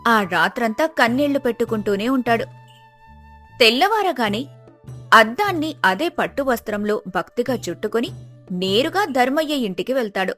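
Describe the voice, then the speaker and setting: A woman's voice: a little high, expressive, normal speed, a bit bright, faint music behind. A woman reading scripted narration of a moral folk tale as the storyteller.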